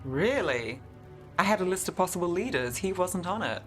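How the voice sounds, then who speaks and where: A woman's voice: lowish voice, lively intonation, moderate pace, a bit bright, faint music behind. A woman playing a cool, cynical detective in a fantasy video game, speaking tersely with dry skepticism. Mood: skeptical. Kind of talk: restrained video game drama acting.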